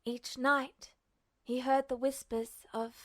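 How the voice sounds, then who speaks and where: A woman's voice: high voice, level tone, slow, a bit bright. A woman reading a story aloud as a scripted audiobook-style narration. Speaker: fan fiction podfic narrator.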